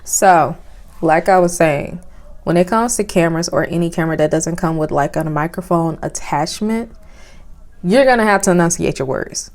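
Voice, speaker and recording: A soft-spoken young woman, chatting casually with lots of fillers as she reviews cameras at home, recorded on a phone with a clip-on mic. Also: fairly low pitch, moderate pace. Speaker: vlogger. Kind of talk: casual product review vlog. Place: home, indoors. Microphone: phone with clip-on lapel mic.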